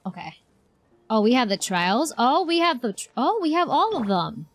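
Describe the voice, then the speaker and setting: A woman livestreamer reacting to a game in casual, excitable, slangy chat. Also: fairly high pitch, normal speed, soft background music.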